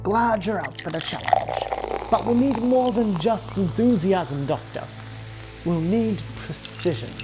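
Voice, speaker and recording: A woman acts out a resourceful male spy from a wartime audio drama. The delivery is measured and cool, like a mission briefing, with music clearly playing behind. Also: mid-range voice, expressive, close to an everyday voice, poor audio. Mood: dry, determined. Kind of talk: fan audio drama acting.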